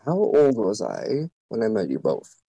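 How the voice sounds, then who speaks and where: A man's voice: lowish voice, very animated, normal speed, mellow, a little hiss or hum. A nineteen-year-old man hosting a casual chat with friends, blunt and swearing freely. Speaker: host asking questions.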